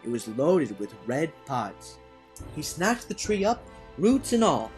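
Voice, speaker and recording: A man reading a storybook aloud as a dramatic, theatrical storyteller who moves between hushed and loud delivery. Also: a little high, very animated, takes it slowly. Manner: theatrical, swinging from hushed to shouting. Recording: orchestral music behind the voice. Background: orchestral music.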